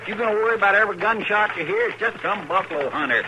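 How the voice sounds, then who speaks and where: A frontier sidekick in a vintage radio western. He speaks in a folksy rural American drawl, exasperated and homespun, in a higher-than-average male voice. Noise runs through the old recording. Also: lively intonation, racing. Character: folksy, querulous cowboy sidekick to a marshal. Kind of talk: old time western radio drama acting.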